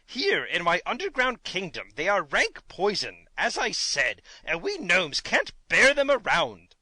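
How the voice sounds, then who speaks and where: Storybook gnome king, acted by a woman: small blustering male monarch, sharp forceful tone, pitch leaping wildly, indignant and theatrical. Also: huge pitch swings, measured pace, breathy edge, no room sound, exaggerated voice. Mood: alarmed, blustering.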